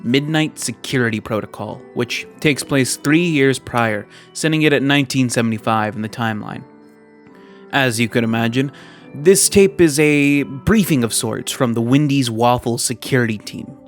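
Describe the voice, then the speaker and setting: A man's voice: medium pitch, normal speed. Wry male commentary narrator over music. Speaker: video essayist, host. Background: background music.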